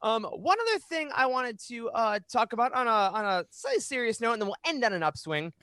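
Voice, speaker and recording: A man's voice: extremely high pitch, animated, brisk, bright, forceful tone, clear, resonant tone, no room sound. A man in his thirties hosting a podcast in an upbeat, chatty way, close on a microphone in a small home studio. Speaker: podcast host. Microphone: boom-arm microphone up close.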